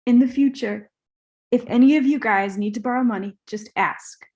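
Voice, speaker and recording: A woman reads the part of an entitled young movie star who is a bit clueless. The voice is fairly high, delivered as in a live comedy script reading. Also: moderate pace, a little mellow, muffled recording, natural voice. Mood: put out. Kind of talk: live comedy table read.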